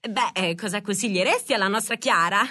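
A woman's voice: medium pitch, brisk, pushed and bright. A woman playing a relentlessly upbeat livestream blogger in a comic radio drama, gushing and exclaiming. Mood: confiding, inquisitive. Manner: gushing, exclamatory, hashtag-spouting.

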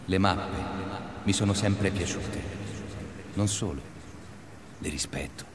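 Low-voiced, pedantic male schoolteacher, confessing. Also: slow, noisy background. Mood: reflective, fond. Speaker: narrator. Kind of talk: dreamlike radio drama monologue.

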